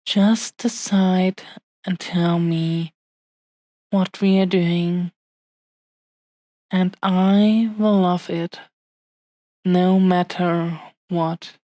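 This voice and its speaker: A woman playing an adoring, possessive dragon-blooded fiancée in an intimate romantic roleplay audio, speaking soothingly with lingering pauses. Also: fairly low pitch, fairly even intonation, warm tone, no room sound. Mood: coaxing, affectionate. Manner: slow, lingering, soothing, affectionate delivery with long pauses.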